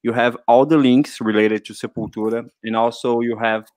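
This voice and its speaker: A man's voice: medium pitch, normal speed, no room sound. A man co-hosting a live video chat, casually plugging the band's online store. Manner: relaxed, promo-style plugging of the store. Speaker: band singer and co-host. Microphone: headset microphone on video call.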